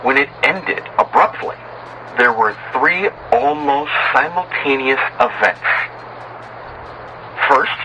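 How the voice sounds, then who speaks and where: A man reading a first-person horror story as dramatic scripted narration, in a measured, solemn, literary manner. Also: medium pitch, background noise.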